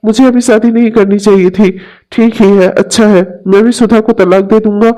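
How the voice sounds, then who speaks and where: A man voicing a selfish young husband who is tired of married life, talking in a flat, dismissive way in an amateur audio drama. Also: high voice, moderate pace, lightly acted. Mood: self-justifying. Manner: flat, dismissive, explaining himself.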